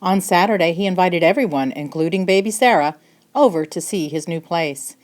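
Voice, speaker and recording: A woman narrating a children's picture book in an expressive storytelling style. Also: fairly low pitch, moderate pace. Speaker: storybook reader.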